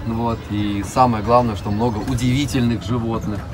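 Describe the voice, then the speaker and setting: A middle-aged man giving a casual guided tour in short, unhurried phrases with frequent pauses. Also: medium pitch, fairly even intonation, music in the background. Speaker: zoo director giving an interview and tour. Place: open cab of a small vehicle driving through an outdoor park.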